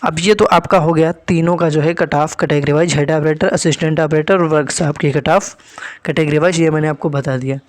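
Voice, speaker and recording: Man, exam-prep teacher, slightly high voice, dry close sound, instructive, repetitive explaining, frequent check-in tags. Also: fairly even intonation, a little fast, no echo. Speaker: exam-prep teacher presenting expected cutoffs. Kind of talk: informal explanatory lesson to students.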